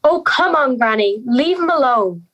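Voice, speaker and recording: A woman's voice: sits high, expressive, normal speed, fairly bright tone, a little hiss or hum. A young woman playing an anxious young schoolgirl in a fairy-tale audio drama, hesitant and pleading. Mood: earnest. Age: young adult.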